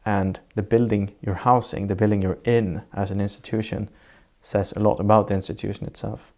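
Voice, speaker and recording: A Swedish-accented man with a low, gravelly voice talks through his ideas in an interview. He is measured and reflective, building up definitions one point at a time as he answers. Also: little pitch movement, a little mellow. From Sweden. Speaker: architect guest. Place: sitting indoors in Norway.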